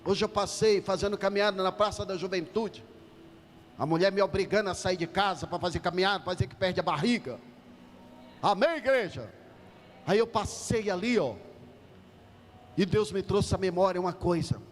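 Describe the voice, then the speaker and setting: High-voiced emphatic preacher. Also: male voice, moderate pace, long pauses, slight background noise. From Salvador, Bahia, Brazil. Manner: emphatic, calls out to the congregation. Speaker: pastor. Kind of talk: preaching a sermon. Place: church hall. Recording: church hall reverb.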